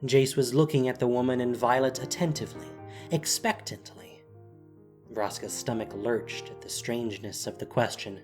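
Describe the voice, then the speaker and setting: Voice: mid-range voice, a little mellow. A narrator reading a scripted fantasy story in a dramatic storytelling style.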